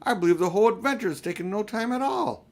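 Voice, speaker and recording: A man voicing an excited, astonished young schoolboy in an audiobook, exclaiming emphatically. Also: sits high, fast, vocal fry, pitched above the performer's own voice. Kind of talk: audiobook character reading.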